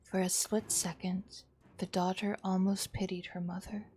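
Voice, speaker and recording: A woman's voice: medium pitch, little pitch movement, measured pace, mellow, slightly rough, soft background music. A woman streamer reading a horror visual novel aloud as a dramatic narrator.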